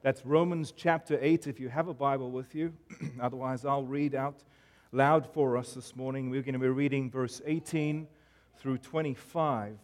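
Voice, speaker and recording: A man's voice: mid-range voice, fairly even intonation, moderate pace. A man in his forties from South Africa, whose accent is a South African and American mix, preaching a sermon as guest pastor in an earnest, friendly manner with frequent "uh" fillers. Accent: South African mixed with American. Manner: warm, earnest, self-deprecating pulpit delivery with frequent fillers.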